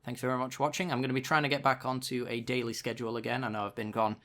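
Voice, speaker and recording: A man's voice: mid-range voice, flat delivery, rapid-fire, sharp tone. A man giving casual, chatty card game commentary with dry sarcasm, still getting over a tickly sore throat. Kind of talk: gameplay commentary.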